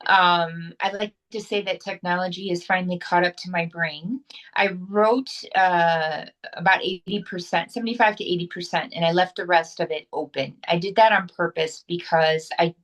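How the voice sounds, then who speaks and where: A woman with a fairly low voice talks about her acting work as an interview guest. She is chatty and upbeat, and she often stops and restarts her sentences. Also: fairly even intonation, moderate pace, fairly bright tone, poor audio. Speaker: actress, guest. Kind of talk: casual interview chat.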